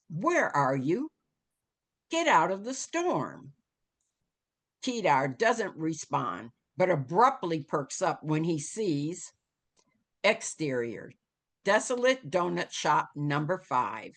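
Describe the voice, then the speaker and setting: A woman with a lowish voice narrates a screenplay's stage directions at an unhurried pace. Her pitch moves expressively as she delivers scene headings and action in dramatic storytelling style.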